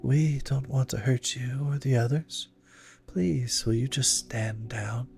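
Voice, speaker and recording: A man voicing a softly pleading, taunting female shadow demon in an audio horror story, with a coaxing, apologetic manner. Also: mid-range voice, slow pace, creaky, soft background music, voice pushed up. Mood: gentle pleading.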